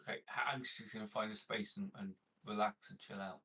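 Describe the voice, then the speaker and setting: A man's voice: on the low side, level tone, a little fast, sharp tone, slightly rough, recorded from a distance. A man playing a tabletop roleplaying game, describing his character's actions in a laid-back, informal way.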